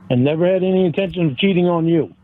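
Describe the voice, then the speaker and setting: A man with a slightly high voice argues over a thin, band-limited phone connection. He sounds defensive and insistent, going back over the same points in a heated exchange. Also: brisk, phone-quality sound. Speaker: caller in an argument.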